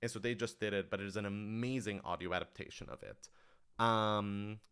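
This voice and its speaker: Male creator for livestream Q&A: lowish, smooth voice, informal and keen, thinks aloud with halting, emphatic phrasing. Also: steady tone, fairly bright tone. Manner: enthusiastic, emphatic. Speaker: writer-director answering audience questions. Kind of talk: casual livestream Q&A chat.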